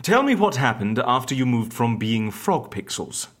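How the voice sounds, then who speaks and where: Very deep, husky, sceptical female interviewer. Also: sing-song, moderate pace, full character voice. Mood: puzzled, impatient. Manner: dry, questioning, impatient. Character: sceptical, exasperated female agency interviewer questioning a client. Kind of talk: scripted audio comedy drama.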